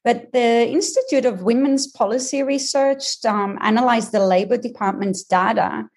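A woman in her fifties with a mixed Israeli, Australian and American accent, explaining things in a measured way with frequent ums as a podcast guest. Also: a little high, fairly even intonation, creaky, a little mellow. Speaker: career coach guest. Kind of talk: podcast interview.

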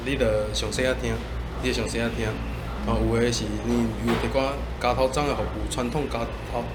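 A man's voice: medium pitch, little pitch movement, a little fast, very noisy. A man with a Tainan Taiwanese accent chatting casually on a podcast, repeating phrases for emphasis. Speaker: podcaster guest. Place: coffee shop.

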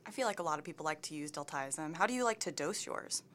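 A woman's voice: sits low, expressive, fast talker, fairly bright tone, a little hiss or hum. A woman resident physician asking questions and summarizing answers in a teaching discussion. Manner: inquisitive, summarizing.

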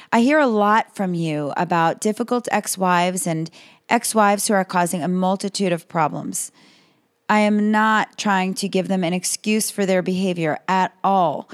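A woman hosting a podcast, slightly hoarse, reading calm advice in a scripted monologue. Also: mid-range voice, no room sound, clean studio sound. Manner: calm, advising. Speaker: podcast host.

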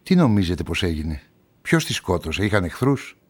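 An inquisitive journalist telling his own story in a radio play. His voice sits low, and he speaks in a measured, probing way, as narrator and questioner. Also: sing-song. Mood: probing, polite. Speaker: narrator and lead actor. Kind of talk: radio drama narration and dialogue.

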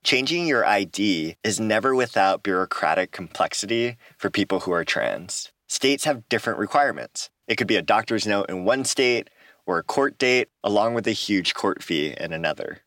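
Male podcast host, scripted narration, bright voice, low pitch, measured. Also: animated, no echo, clean studio sound. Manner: clear, measured, scripted delivery.

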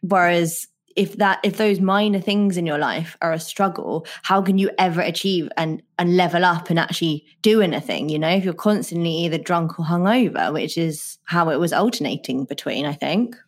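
Very low-voiced British woman, conversational. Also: normal speed, hardly any pauses. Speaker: podcast host. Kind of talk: conversational interview, sharing personal experience.

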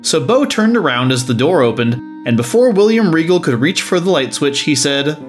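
A man's voice: on the high side, very animated, moderate pace, faint music behind. A man narrating a scripted story.

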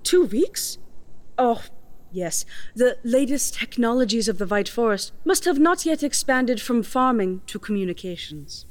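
An actress playing a defiant, composed young farm woman in a fantasy audio drama, speaking in a measured, formally polite and pointed way. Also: a little high, expressive. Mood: dry sarcasm.

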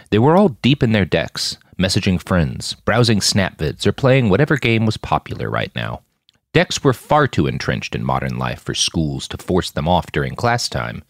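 A man narrates fiction from a script in a slightly low voice. His pitch moves widely and expressively, and the recording is close and dry. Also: no room sound. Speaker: fiction podcast narrator reading own novel.

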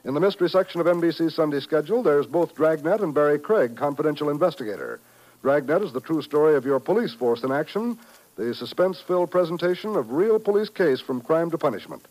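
A man's voice: on the high side, expressive, fast, a little mellow, rough recording. A man with an American accent announcing programme promos in polished 1950s radio style on an old broadcast recording.

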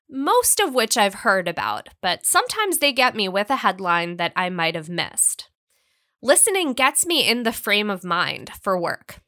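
Woman, American accent, podcast host, bright crisp tone, animated intonation, friendly scripted delivery. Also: medium pitch, no room sound, clean studio sound. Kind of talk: upbeat scripted solo podcast narration.

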